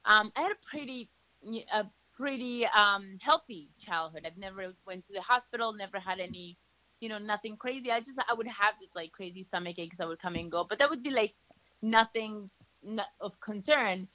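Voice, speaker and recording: Colombia-born woman guest, earnest storyteller, bright tense tone, dry close sound. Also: medium pitch, normal speed, dry recording, rough recording. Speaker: podcast guest. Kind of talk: casual interview chat.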